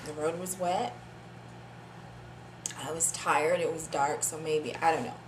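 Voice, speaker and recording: An actress playing a guilt-ridden woman confessing a long-held secret in a dramatic monologue, halting and repeating herself. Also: sits low, steady tone. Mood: hesitant, shaken. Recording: echoey room with clear background noise.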